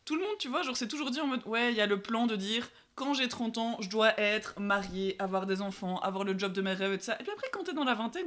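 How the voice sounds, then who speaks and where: A woman's voice: mid-range voice, fairly bright tone. A woman in her twenties chatting casually on a podcast, peppering her talk with fillers and asides. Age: 20s. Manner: chatty, fillers like "genre" and "tu vois". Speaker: guest. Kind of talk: casual chat.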